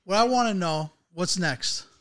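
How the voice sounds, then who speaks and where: A man's voice: high-pitched, a little slow, a bit bright. A man hosting a podcast in a casual interview, friendly and chatty, filling his talk with "you know" and "like". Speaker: podcast host.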